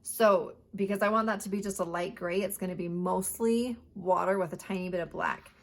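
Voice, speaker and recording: A woman art teacher giving a chatty step-by-step painting lesson, her voice hoarse. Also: mid-range voice, fairly even intonation, a little fast. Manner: chatty, plain instructional talk.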